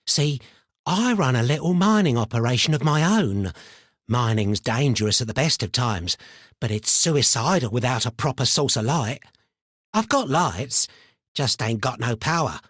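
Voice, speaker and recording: Game role: a paranoid, chatty mad miner, rambling and theatrical, very varied intonation, dry studio sound. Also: male voice, mid-range voice, expressive, moderate pace, fairly bright tone, dry recording. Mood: chatty, wry. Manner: rambling, muttering, colloquial, theatrically swinging between chummy and suspicious. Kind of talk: video game character voice lines.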